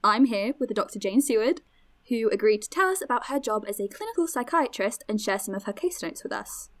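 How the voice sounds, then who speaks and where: A woman's voice: a little high, animated, brisk, lightly acted. A woman playing an eager, friendly young podcast host in an audio drama, chatty, encouraging and inquisitive. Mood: upbeat, welcoming.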